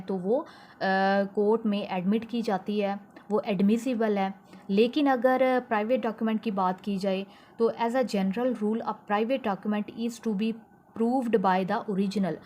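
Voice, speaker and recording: A woman with a Pakistani accent giving an explanatory law lecture, slowing down to read English definitions and then explaining them plainly. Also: medium pitch, mellow, a little hiss or hum. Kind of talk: explanatory lecture mixing in English legal terms.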